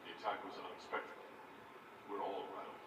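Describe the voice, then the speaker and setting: An actor playing a steady, principled leader in a fantasy video game, firm and plain-spoken. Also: male voice, sits low, normal speed, close to an everyday voice. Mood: calm, firm. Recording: roomy, distant game capture with background noise.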